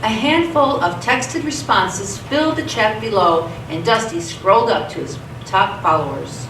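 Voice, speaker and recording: A woman narrates a radio theatre story from a script in a mid-pitched voice. She sounds set back from the mic in an echoey space, with clear background noise. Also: animated, a little slow, distant mic.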